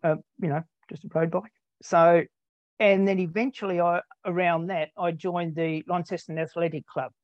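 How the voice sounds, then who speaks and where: A man's voice: a little high, steady tone, moderate pace, no echo. An older man from Tasmania with an Australian accent, telling his story as an interview guest in a rambling, self-deprecating way with many ums. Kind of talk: casual conversational storytelling. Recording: dull, dry lo-fi sound.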